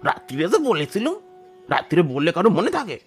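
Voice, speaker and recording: A man's voice: a little high, huge pitch swings, brisk, faint music behind. A man playing a poor, weary peasant father in an audio drama, rustic and emotional, tender but hot-tempered. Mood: furious, scolding. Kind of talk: dramatised audio story acting.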